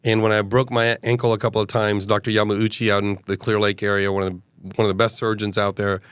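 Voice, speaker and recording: A man in his fifties, from Uganda, tells his story in an earnest, expansive way with plenty of "uh"s. His voice is smooth and resonant, sitting on the lower side, and the sound is dry and close with no room echo. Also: flat delivery, fast talker, fairly bright tone. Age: 50s. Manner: earnest, expansive, hesitant fillers. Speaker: guest, mayoral candidate. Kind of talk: casual interview storytelling.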